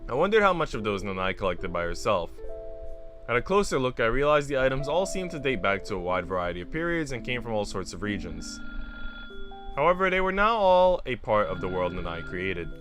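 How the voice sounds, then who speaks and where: A man's voice: mid-range voice, sing-song, fairly quick, fairly bright tone. A man streaming a visual novel, moving between reading the story aloud and casual, slangy, chatty asides. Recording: music behind the voice. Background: music.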